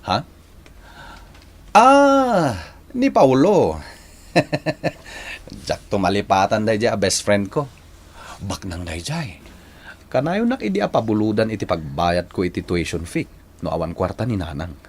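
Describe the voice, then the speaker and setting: An actor playing an excitable young man in a radio drama, halting and exclaiming, with an Ilocano accent. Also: lowish voice, full character voice. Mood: puzzled. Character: excitable young man shocked by his lover's confession. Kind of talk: Filipino radio drama acting.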